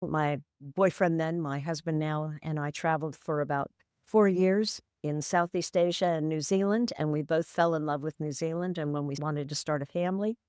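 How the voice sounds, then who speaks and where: A woman's voice: deep voice, fairly even intonation, normal speed, darkish tone, clear, resonant tone, no room sound, studio quality. A woman, candidly telling her life story as a podcast guest in a matter-of-fact, wry way. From San Francisco, USA. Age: 60s. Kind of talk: reflective storytelling interview.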